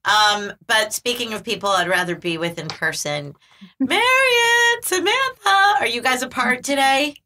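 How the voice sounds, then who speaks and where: A woman's voice: fairly high pitch, lively intonation, unhurried, sharp tone. A woman hosting a casual group chat, laughing often as she talks. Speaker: podcast host.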